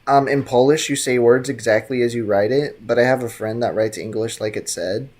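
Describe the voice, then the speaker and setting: A man's voice: mid-range voice, fairly quick, darkish tone. Laid-back slangy young male streamer. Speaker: game streamer. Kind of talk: casual chat reading live chat.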